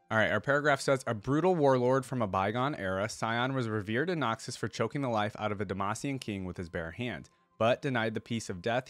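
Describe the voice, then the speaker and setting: A man's voice: fairly low pitch, dry recording. A man hosting a reaction video, speaking conversationally as he comments and reads lore aloud. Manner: conversational, interjects his own reactions while reading. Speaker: YouTube reaction host.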